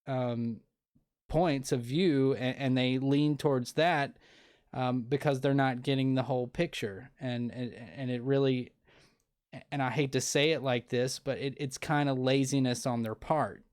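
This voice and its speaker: A man's voice: medium pitch, fairly even intonation, a little slow, darkish tone, clear, resonant tone. A man hosting a casual interview show, talking earnestly with many restarts and fillers. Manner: earnest, opinionated. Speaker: podcast host.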